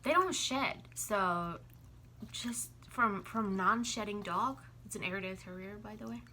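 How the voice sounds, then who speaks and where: Female vlog co-host from Finland, bright forward voice, casual animated storyteller, faint noise. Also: mid-range voice, a little slow. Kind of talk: casual chat.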